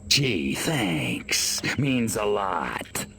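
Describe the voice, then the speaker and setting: An actor voicing a cocky, sarcastic little alien invader in a video game, raspy, sneering and impatient. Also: male voice, on the low side, sing-song, extremely slow, bright, forceful tone, exaggerated voice. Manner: wisecracking, sneering. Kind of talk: video game cutscene acting.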